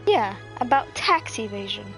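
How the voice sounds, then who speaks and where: A woman's voice: mid-range voice, sing-song, normal speed, music in the background, low-quality recording. A young woman giving playful, slangy commentary while playing a video game. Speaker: gamer streamer. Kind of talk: casual let's-play commentary.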